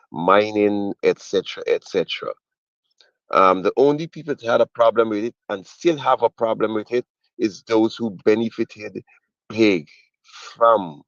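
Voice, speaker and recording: A man's voice: on the low side, no echo. A man calling in to a talk show to give his opinion, emphatic and repeating himself for weight. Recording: muffled, dull call audio.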